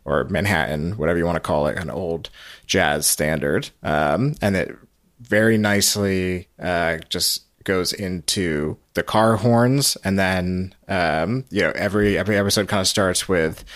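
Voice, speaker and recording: A man hosting a recap podcast, talking casually and haltingly as he thinks it through. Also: deep voice, fairly bright tone, no room sound, studio quality. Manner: halting, conversational, hedging. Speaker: podcast host.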